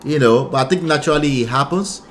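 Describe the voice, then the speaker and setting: Brief: middle-aged Nigerian-American-accented man, somewhat high voice, laughy relationship coach. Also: quick pace, slight background noise. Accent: Nigerian, mixed with American. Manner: animated, laughs often, repeats words. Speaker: relationship coach and show host. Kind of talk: casual podcast chat.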